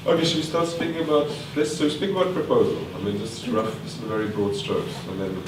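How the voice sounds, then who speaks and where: A man's voice: mid-range voice, fairly even intonation, quick pace. A man leading a filmmaking workshop, quizzing the group and thinking aloud with fillers and restarts. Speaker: workshop trainer. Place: large meeting room with a live group. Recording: echoey room from far off, noisy.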